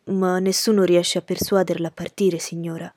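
A woman's voice: fairly low pitch, level tone, normal speed, darkish tone, no echo, studio quality, close to an everyday voice. A woman reading the part of a deferential, uneasy male servant bringing messages in an audiobook story. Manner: deferential, hesitant.

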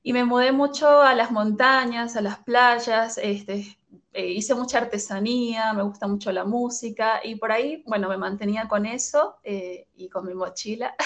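A woman in her twenties from Venezuela, with a Venezuelan accent, talks as a podcast guest. Her voice is on the high side. She tells personal stories casually, with an informal, giggly manner. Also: highs cut off. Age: 20s.

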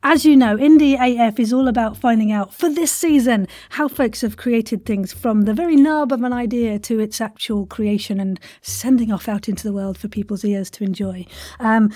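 Woman podcast host, kinda high voice, really gushy and theatrical, close dry studio sound. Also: a little mellow, no echo. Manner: effusive, theatrical delivery. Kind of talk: theatrical show introduction and interview chat. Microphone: close home studio microphone.

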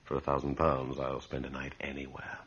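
A man's voice: extremely low pitch, completely flat delivery, a little fast, fairly bright tone, slightly rough, full character voice. A man acting in an old radio horror drama as a brash, broke young man, sceptical yet uneasy, polite and given to hesitant stammering. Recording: dull lo-fi old radio recording.

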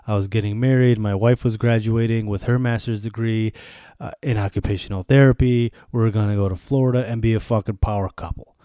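A thirty-year-old man rants through a solo podcast with sardonic, profane candor. His voice sits a little low and sounds mellow, smooth and resonant. The recording is dry and close, with no room around it. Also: steady tone, brisk, no room sound. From born in Germany to Bosnian refugees, raised in the US. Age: 30s. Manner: venting, sardonic, swears freely. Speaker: podcast host. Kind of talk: candid solo podcast monologue.

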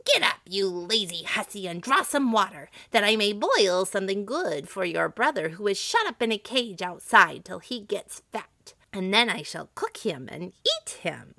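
A woman voicing a wicked old witch from a storybook, malicious and bullying, with drawn-out, scolding commands. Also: fairly high pitch, big pitch swings, vocal fry, pushed and bright, dry recording, voice pushed up, heavily acted. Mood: scolding, harsh.

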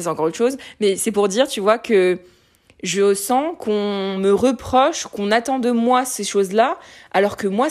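A woman in her late twenties talking candidly in a casual conversation, lively, with many fillers and restarts. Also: medium pitch. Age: late 20s.

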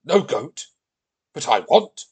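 A man voicing a huge, childish Viking king who is blunt, demanding and quick to tantrums, for a children's story. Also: fairly high pitch, very animated, vocal fry, slightly rough, pitched above the performer's own voice, full character voice. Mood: stubborn tantrum.

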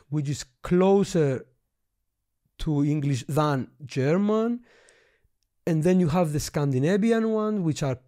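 A man's voice: fairly high pitch, dark tone. A man with a Greek accent, teaching history in a casual lesson, eagerly explaining and thinking aloud as he points things out.

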